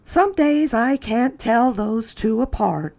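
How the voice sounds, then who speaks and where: A storyteller acts out a mother mouse in a picture book. She speaks in a high, lifted voice with fondness and a touch of exasperation. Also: expressive, slow pace, creaky, darkish tone. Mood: fondly amused.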